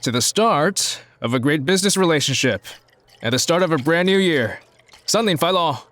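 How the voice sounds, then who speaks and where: Male actor as greedy millionaire, highish voice, swooping intonation, exclamatory, excitable, audio drama. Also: normal speed, no echo. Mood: gleeful. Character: greedy, excitable millionaire man, gloating and gullible.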